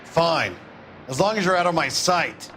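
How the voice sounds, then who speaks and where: A man's voice: a little high, expressive, unhurried, a bit bright, slight background noise. A man playing a surly, short-tempered work-site tough in a crime game, with a threatening, dismissive manner. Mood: grudging, surly.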